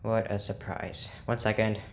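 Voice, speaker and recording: A man's voice: fairly low pitch, little pitch movement, measured pace, gravelly. A man acting in an audio drama as a dry, matter-of-fact researcher giving a report. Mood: dry, businesslike.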